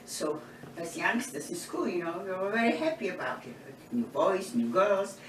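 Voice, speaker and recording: A woman's voice: fairly low pitch, sing-song. Elderly woman, far from the mic. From eastern Poland. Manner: unhurried storytelling with frequent restarts and asides. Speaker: Holocaust survivor interviewee. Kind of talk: oral history testimony. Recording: roomy, distant mic, background noise.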